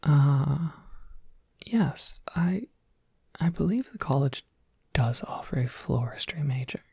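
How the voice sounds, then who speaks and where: Breathy whisper, performer playing polite monster academy professor, slow, scholarly, rambling, close dry ASMR roleplay. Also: medium pitch, animated, mellow, no room sound, exaggerated voice. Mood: courteous, welcoming.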